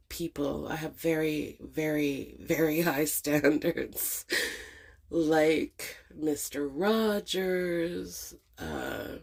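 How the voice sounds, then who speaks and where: A middle-aged woman muses aloud in a casual monologue, stuffed up with a cold. Her voice sits low and gravelly, with a husky edge. She speaks very slowly, pausing often and filling gaps with ums. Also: darkish tone. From Canada. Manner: slow, hesitant, many ums and pauses. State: congested with a cold. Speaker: channel host.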